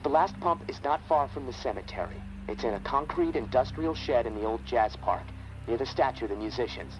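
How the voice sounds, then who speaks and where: An actor playing an excitable, wisecracking survivor and technician in a video game, chatty and jittery. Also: male voice, mid-range voice, brisk, background noise, close to an everyday voice. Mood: hopeful, wry.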